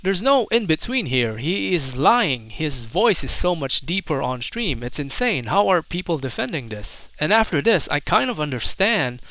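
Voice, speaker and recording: A man's voice: fairly high pitch, very animated, normal speed, few pauses, a bit bright, no echo. A man giving opinionated, accusatory commentary in the manner of a video essay. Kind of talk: critical commentary.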